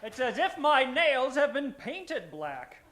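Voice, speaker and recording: Man playing a very high-voiced earnest worker. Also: very animated, slow, fairly bright tone, heavily acted. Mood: amazed, proud. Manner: exaggerated wonder. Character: wide-eyed, earnest male factory worker, advert parody. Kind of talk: old-time radio advert parody.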